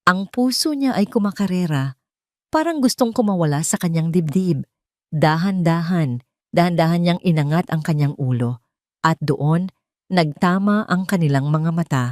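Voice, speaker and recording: A woman's voice: fairly low pitch, expressive, moderate pace, darkish tone, dry recording, studio quality. A woman narrating a dramatic audio story and reading every part.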